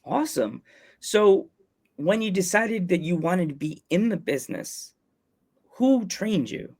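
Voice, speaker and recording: A man's voice: high voice, sing-song, unhurried, darkish tone, slightly rough. A man hosting a wrestling podcast interview, enthusiastic and often restarting his questions. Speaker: podcast host. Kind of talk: casual interview.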